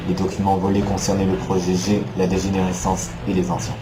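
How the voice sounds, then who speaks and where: A man playing a grave, composed elite soldier in a dramatic game dub, speaking in a measured, solemn, terse way. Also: sits low, completely flat delivery, music in the background, lightly acted, poor audio. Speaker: fan dub voice actor.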